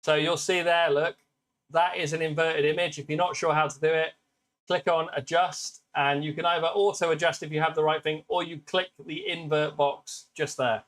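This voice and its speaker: A British man with a naturally loud voice, hosting a casual live stream demo and chatting warmly with viewers. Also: on the high side, little pitch movement, normal speed. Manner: chatty, upbeat, greets viewers by name. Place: workshop with laser engravers.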